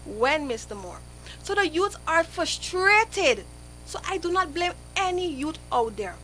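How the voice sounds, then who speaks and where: A woman's voice: very high-pitched, very animated, unhurried, pushed and bright, soft background music, rough recording. A young woman, a guest on a live radio interview, speaking earnestly and pleading her point to the host.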